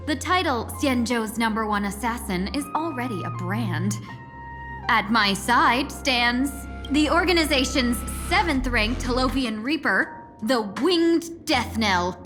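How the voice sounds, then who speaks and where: A smooth-talking fox lady in a video game, sly and polite. She speaks slowly and theatrically in a fairly high voice, with music clearly behind her.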